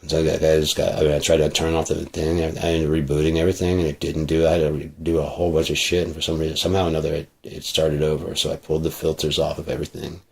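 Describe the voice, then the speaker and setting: A man's voice: a bass voice, vocal fry, slightly rough. A man talking casually on a livestream, rambling and swearing freely, into a processed studio microphone.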